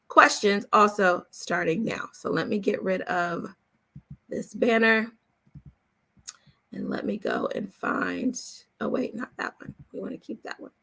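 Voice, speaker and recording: A woman's voice: medium pitch, creaky, sharp tone. Upbeat woman hosting a casual livestream. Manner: checks in often with "okay?" and "all right?". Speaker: voice-over coach hosting a live Q&A. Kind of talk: casual livestream talk with promotion.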